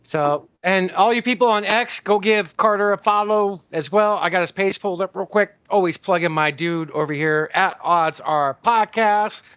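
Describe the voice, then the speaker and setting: A man hosting a sports podcast, casual and hyped as he plugs his guest. His voice is high for a man, and the sound is dry and close, with no room echo. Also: moderate pace, hardly any pauses, a bit bright, clean tone. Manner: hyped, plugging his guest, many fillers. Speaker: podcast host.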